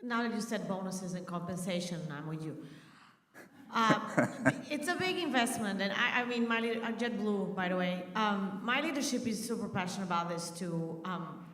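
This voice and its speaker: A woman's voice: medium pitch, normal speed, a bit bright. Distant woman asking a question in a hall. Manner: chatty, joking questioner. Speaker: audience member asking a question. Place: large conference hall. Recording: distant, echoey hall audio.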